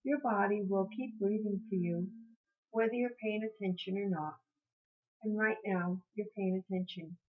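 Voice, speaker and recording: A woman teaching guided meditation in a soothing, encouraging manner, gently repeating her phrases. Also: mid-range voice, normal speed. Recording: muffled, dull lo-fi sound.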